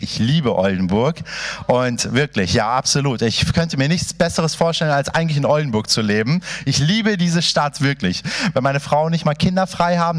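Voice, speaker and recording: A man in his thirties, born in Iran, preaching to a church congregation in a passionate, chatty way, speaking straight to the listeners. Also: medium pitch. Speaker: pastor. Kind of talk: sermon introduction.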